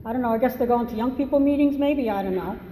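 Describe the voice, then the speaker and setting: A woman's voice: on the high side, fast talker, mellow. An older woman from Massachusetts, with a Massachusetts accent, giving a candid, rambling personal testimony at a recovery meeting, with self-deprecating humor. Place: meeting hall. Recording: echoey hall, faint noise.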